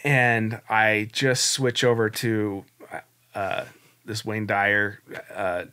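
A man's voice: fairly low pitch, flat delivery, very slow, bright, forceful tone, smooth. A man in his forties, a guest on a podcast, telling his story in a candid, reflective, rambling way. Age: 40s. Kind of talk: casual interview storytelling.

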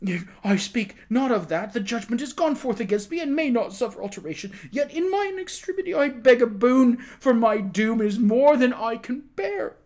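A man's voice: extremely high pitch, animated, unhurried, voice pushed up. A man voicing a terrified male prisoner in an audiobook, begging imploringly in broken phrases. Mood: terrified pleading. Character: condemned male prisoner, terrified, pleading, abjectly grateful. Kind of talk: dramatized audiobook reading.

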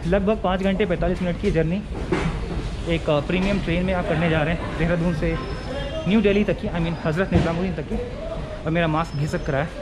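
A young man talks to a handheld camera at arm's length, chatty and easygoing, slipping English phrases into his Hindi. His voice sits a little higher than most men's. Clear station ambience and train noise run behind him. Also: steady tone, brisk. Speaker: travel vlogger. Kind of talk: casual vlog narration to camera. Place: railway platform and train coach, in and out of doors. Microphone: handheld action camera at arm's length.

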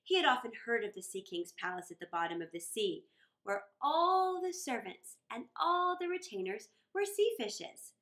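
A middle-aged woman reading a children's story aloud with deliberate, dramatic phrasing. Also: fairly high pitch, big pitch swings, a bit bright. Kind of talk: expressive read-aloud storytelling. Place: small indoor room against a wall.

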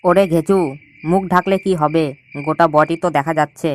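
Man voicing a sly, flat-toned cartoon jackal. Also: on the high side, brisk, natural voice. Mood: bossy. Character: sly male jackal egg thief, bossy and scheming. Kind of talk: cartoon voice acting.

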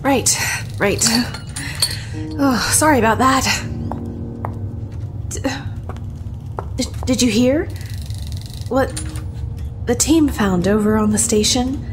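A woman's voice: fairly high pitch, takes it slowly, long pauses, music in the background. A woman playing a distracted, apologetic junior starship engineer with a hesitant manner in a sci-fi audio drama. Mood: sheepish, uneasy.

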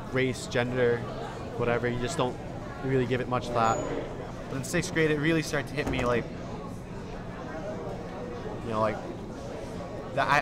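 A young man with an American accent reflects on his own life in an interview. His voice sits at a middle pitch and his delivery is casual, pausing mid-sentence. A busy restaurant crowd is loud behind him. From Indonesia and Illinois, United States. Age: young adult. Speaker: documentary interviewee. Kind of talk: reflective personal storytelling to camera. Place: busy food hall, indoors. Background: loud crowd chatter.

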